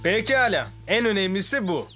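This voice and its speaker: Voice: medium pitch, sing-song, normal speed, bright, forceful tone. An actor dubbing a boastful, showy young cartoon swordsman. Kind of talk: Turkish cartoon dub. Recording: music behind.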